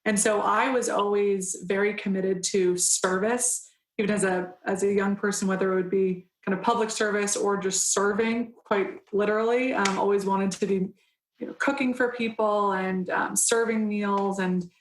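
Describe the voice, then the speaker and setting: Young woman, interview guest, steady flat delivery, earnest and measured, echoey room. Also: medium pitch. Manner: earnest, measured, frequent "um" and restarts. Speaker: council member guest. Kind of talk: radio interview answers.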